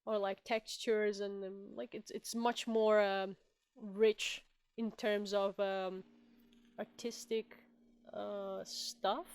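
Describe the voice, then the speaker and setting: Italian woman in her twenties, smooth voice. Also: medium pitch, flat delivery, slow, no echo. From Italy. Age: 20s. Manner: blunt, laughing, searches for English words. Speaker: guest artist. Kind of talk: casual podcast chat. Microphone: video call.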